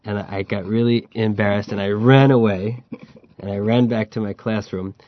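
A man chats casually as a podcast host, spinning anecdotes and laughing as he goes. His voice sits very low and sounds close and dry. The sound is a bit dull. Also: level tone, normal speed, no echo. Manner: chatty, storytelling, laughs while telling. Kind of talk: casual chat about learning Chinese.

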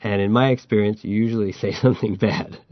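A man chats casually as a podcast host, spinning anecdotes and laughing as he goes. His voice sits very low and sounds close and dry. The sound is a bit dull. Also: steady tone, fast, dry recording. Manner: chatty, storytelling, laughs while telling. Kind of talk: casual chat about learning Chinese.

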